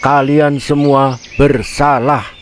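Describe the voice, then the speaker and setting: Guy doing a loud indignant fish for a kids story, lifted pitch, telling animals off, noisy birdsong behind. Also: level tone, slow pace, close to an everyday voice. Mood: commanding, scolding. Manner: loud, shouting, admonishing. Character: bold, indignant snakehead fish, loudly admonishing. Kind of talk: children's fable storytelling.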